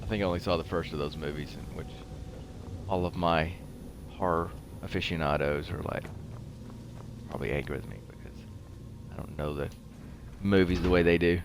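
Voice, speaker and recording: A man giving casual commentary as he plays a video game, rambling and halting as he goes. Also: deep voice, background noise. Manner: rambling, halting, talks while playing. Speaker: host of a gaming show.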